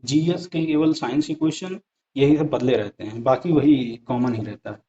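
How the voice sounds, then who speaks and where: A man's voice: mid-range voice, moderate pace, mellow. A man giving a conversational explanatory lesson over slides, speaking directly to viewers and repeating points for emphasis. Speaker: exam-prep presenter. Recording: dull lo-fi sound.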